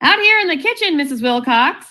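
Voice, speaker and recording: A woman's voice: high-pitched, expressive, a little fast, background noise. A woman playing a sensible, dryly witty housewife in an old-time radio comedy, polite and arch. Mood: polite, curious.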